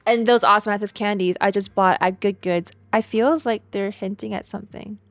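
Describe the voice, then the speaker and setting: A woman streamer reading a game's narration aloud in an expressive storytelling way, with casual reactions. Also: medium pitch, moderate pace, soft background music.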